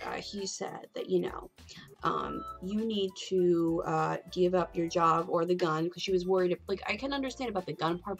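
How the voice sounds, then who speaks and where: A woman with a fairly low voice talks casually as a livestream host. She rambles candidly and swears freely, with music playing faintly behind her. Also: gravelly.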